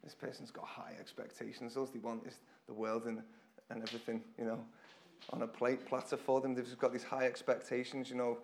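A man preaches to his church with a hoarse, croaky voice. His style is casual and conversational, full of repeated phrases and small restarts, and his pitch sits in an ordinary middle range. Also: flat delivery, a little fast, slight background noise. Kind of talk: informal church sermon. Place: church hall with congregation.

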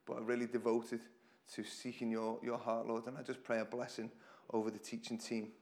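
Man, hoarse croaky voice, casual chatty sermon, repeats himself, church hall. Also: mid-range voice, monotone, a little fast, a little mellow. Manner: conversational, self-deprecating, repeats phrases. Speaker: preacher.

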